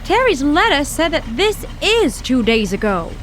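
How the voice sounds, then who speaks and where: A bold, impatient young woman in a radio drama, played by an actress, full of folksy sayings. Her voice is high and lively, with clear background noise behind her. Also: a little slow, full character voice.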